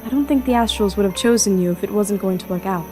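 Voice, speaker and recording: Actress as young loyal princess, subdued, formal, soft dark tone, medium pitch, audio drama. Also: female voice, fast, soft background music, close to an everyday voice. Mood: solemn, reassuring.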